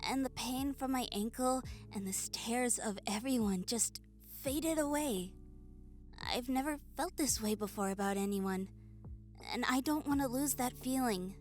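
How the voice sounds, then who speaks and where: A woman voicing a shy, nerdy young goblin woman in a romantic audio roleplay, hesitant, stammering and soft-spoken. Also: a little high, unhurried, close to an everyday voice. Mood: tender, hopeful. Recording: music behind the voice. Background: soft music.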